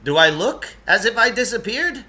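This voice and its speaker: A man's voice: high voice, very animated, normal speed, sharp tone, pitched above the performer's own voice, full character voice. A man voicing an eccentric, brusque and impatient grown man in a children's novel, speaking emphatically and dismissively. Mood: matter-of-fact. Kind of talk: children's novel read-aloud.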